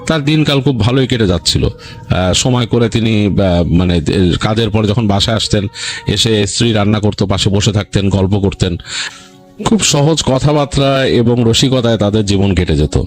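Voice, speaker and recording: A man with a Bangladeshi accent hosting a story show, narrating in an unscripted, halting, conversational way and often rephrasing himself. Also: fairly low pitch. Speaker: horror story show host. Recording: music bed under voice. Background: background music.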